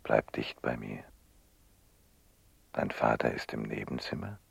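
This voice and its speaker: An actor playing an intense, insistent mind-prober in a German radio play, coaxing in a gravelly, husky voice. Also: male voice, extremely low pitch, lively intonation, a little slow, no room sound, heavily acted.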